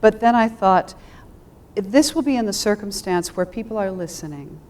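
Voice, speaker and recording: A woman speaking thoughtfully on a panel as a theater director, reflective and halting, often pausing mid-phrase. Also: mid-range voice, animated, a little mellow. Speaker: theater director and Shakespeare adapter on a discussion panel.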